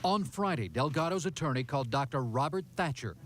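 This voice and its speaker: A man's voice: a little high, expressive, brisk, background noise. A man with an American accent reading a scripted television news report as a reporter.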